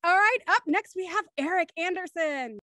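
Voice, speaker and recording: A woman's voice: very high-pitched, animated, normal speed, pushed and bright, dry recording. A young woman hosting a livestream show in an upbeat presenting style, speaking into a studio microphone. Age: young adult. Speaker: show host. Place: small room at home, video call. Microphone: studio microphone on a stand, headphones.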